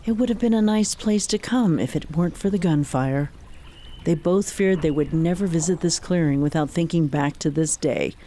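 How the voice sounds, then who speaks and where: Mellow American radio drama narrator. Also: mid-range voice, normal speed, a little hiss or hum.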